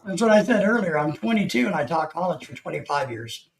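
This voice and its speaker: A man cracking dry, deadpan jokes in a casual group chat. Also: fairly high pitch, fast, gravelly, a crowd in the background, rough recording.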